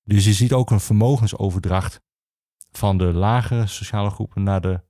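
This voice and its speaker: A man the explains history as an interview guest, haltingly searching for his words. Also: fairly low pitch, fairly even intonation, dark tone, no room sound, clean studio sound. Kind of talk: explanatory conversation for a history podcast.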